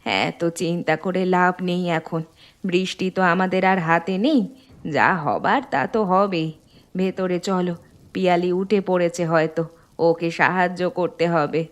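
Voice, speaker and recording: Low-pitched practical village mother played by a woman. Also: lively intonation, moderate pace, close to an everyday voice. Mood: reassuring, brisk. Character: practical, soothing middle-aged village wife and mother. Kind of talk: cartoon story voice acting.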